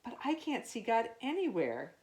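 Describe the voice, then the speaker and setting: A woman's voice: a little high, huge pitch swings, normal speed, darkish tone, voice pushed up. A woman voicing doubting, puzzled townspeople in a children's storybook, insistent and questioning.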